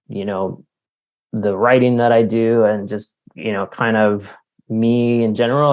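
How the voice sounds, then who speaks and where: Man, low pitch, heavy vocal fry, casual interview guest, hesitant and wordy. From Canada. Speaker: author being interviewed.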